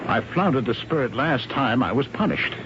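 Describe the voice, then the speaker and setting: Radio drama role: troubled middle-aged husband male actor, superstitious, emphatic, very animated pitch; muffled vintage sound with clear noise. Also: normal speed, lightly acted. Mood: solemn, haunted. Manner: earnest, emphatic, dramatic delivery. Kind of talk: old-time radio drama acting. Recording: dull noisy vintage radio recording.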